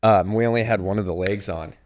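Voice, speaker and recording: A man's voice: fairly low pitch, fast talker, crowd noise. A man hosting a casual podcast interview in an art gallery, upbeat and joking. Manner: upbeat, chatty, loose and joking. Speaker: podcast host.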